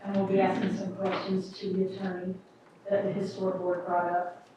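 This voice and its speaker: A woman on the city staff giving a measured, procedural board report at a council meeting. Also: medium pitch, monotone, distant mic, noisy background. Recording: echoey council chamber.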